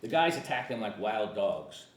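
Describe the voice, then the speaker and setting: Male narrator for a table read, animated delivery, mid-pitched, off-mic with faint hiss. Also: moderate pace, roomy sound, far from the mic. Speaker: narrator of screenplay directions.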